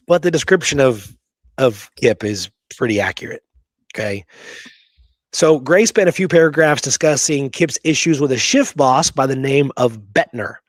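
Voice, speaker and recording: A man's voice: mid-range voice, breathy edge, no echo. Man talking history, very dry close sound. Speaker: podcast co-host. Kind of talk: conversational history talk, reading quoted passages and commenting.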